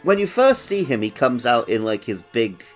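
A man's voice: mid-range voice, huge pitch swings, moderate pace, soft background music. A man co-hosting a casual gaming podcast, chatting in a jokey way and slipping into little mimicked quotes. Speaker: podcast co-host.

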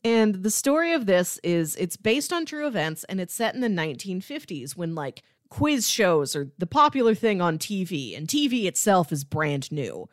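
A woman co-hosting a podcast in a casual, informal chat, describing things in a conversational and animated way. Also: on the low side, normal speed, few pauses, no room sound, clean studio sound. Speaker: podcast co-host. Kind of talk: casual chat, pitching movies.

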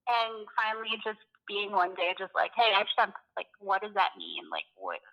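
A woman's voice: mid-range voice, sing-song, moderate pace, gravelly, lo-fi sound. A young woman from Canada chatting casually as an interview guest, rambling and hesitant with many fillers. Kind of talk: casual conversation.

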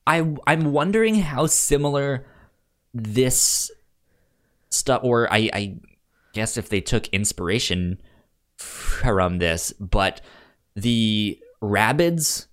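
American man, podcast co-host. Unhurried, stumbling, casual delivery with mid-range pitch. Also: lively intonation, fairly bright tone. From United States. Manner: halting, repeats words and syllables as he thinks aloud. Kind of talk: casual film review chat.

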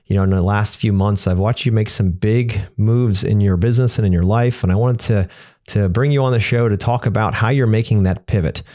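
A man's voice: on the low side, fairly quick, dark tone. A middle-aged man hosting a podcast, upbeat and a little rambling, repeating words as he talks to his guest. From Pennsylvania. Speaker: podcast host. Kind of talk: podcast interview.

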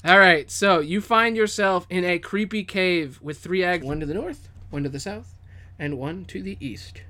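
Higher-voiced man running a tabletop game. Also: normal speed, bright, forceful tone. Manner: casual, profane, descriptive storytelling. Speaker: game master. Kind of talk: narrating a tabletop game, casual.

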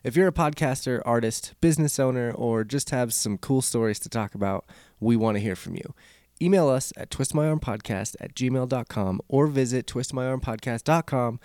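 A man's voice: medium pitch, normal speed, darkish tone, no room sound, studio quality. A man hosting a podcast, rambling casually with restarts and the odd swear word. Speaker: podcast host.

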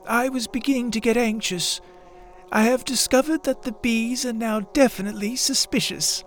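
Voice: medium pitch, steady tone, close to an everyday voice. A performer as an earnest, solemn little storybook bear. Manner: deliberate, solemn, gently anxious. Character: earnest, slow-witted, self-important little toy bear. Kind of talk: children's storybook readers theatre.

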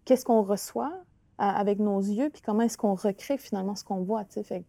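A woman with a Quebec French accent whose first language is Innu, talking through her ideas slowly, with frequent restarts. Her voice is mellow and warm. The sound is dry and close. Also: medium pitch, creaky, no echo. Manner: thoughtful, hesitant, many restarts and "tu sais". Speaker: author and artist guest. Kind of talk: reflective conversational interview.

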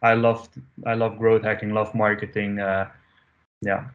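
A man's voice: fairly low pitch, measured pace. A man from Amsterdam, a podcast guest talking casually with many fillers. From Amsterdam, Netherlands. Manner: relaxed, hesitant with many fillers. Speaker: podcast guest, growth marketer. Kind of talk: casual interview talk. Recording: dull lo-fi remote audio.

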